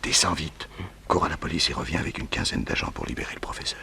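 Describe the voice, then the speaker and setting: An actor playing a resolute detective hero, brisk and authoritative, in a 1960s French radio drama. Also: male voice, rumbling low, creaky, bright, forceful tone, exaggerated voice. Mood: urgent, hushed. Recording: faintly noisy old radio recording.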